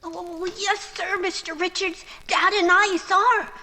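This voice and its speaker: Woman acting a young boy, very high bright voice, earnest and respectful, radio drama, long reverb. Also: fairly even intonation, measured pace, full character voice. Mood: anxious, earnest. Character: earnest small-town boy bringing bad news. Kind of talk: old-time radio acting. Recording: long reverb, faint music behind.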